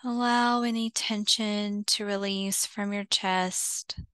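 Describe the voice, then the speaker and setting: A woman leading a guided visualization as a meditation teacher, soothing and unhurried, leaving long pauses between short phrases. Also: mid-range voice, steady tone, a bit bright, clean tone, no room sound.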